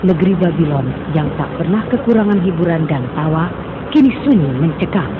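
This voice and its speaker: A man narrating a religious cartoon story in a grave, measured way. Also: a little high, expressive, background noise. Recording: phone-like sound under loud music.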